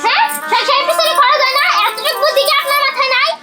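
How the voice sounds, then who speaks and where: An extremely high-pitched young cartoon wife, sharp-tongued and sneering. She is a cruel, slippery daughter-in-law who talks colloquially over loud music. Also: fairly even intonation, fast. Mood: defiant, spiteful.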